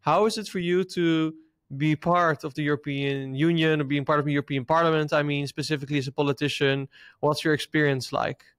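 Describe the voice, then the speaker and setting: A man with a Dutch accent hosts an interview in English, asking friendly questions with small hesitations. His voice sits a little high for a man. It is recorded close and dry. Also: fairly even intonation, fairly quick, fairly bright tone, clear, resonant tone, no room sound. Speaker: interviewer, host. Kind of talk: casual interview.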